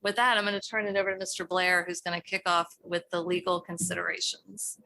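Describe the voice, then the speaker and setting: A woman's voice: lowish voice, level tone, a little fast, gravelly, sharp tone, rough recording. A city staff member with a Virginian accent, losing her voice, opens a council presentation in a council chamber in a casual, loose way with many fillers.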